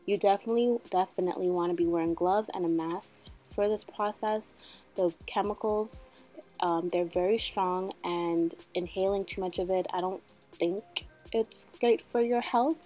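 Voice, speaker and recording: A young woman in her twenties giving a casual hair tutorial at home, chatty and joking, with a slightly husky voice that she calls a smoker's voice. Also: fairly low pitch, mellow. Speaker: beauty vlogger. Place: bedroom at home, indoors.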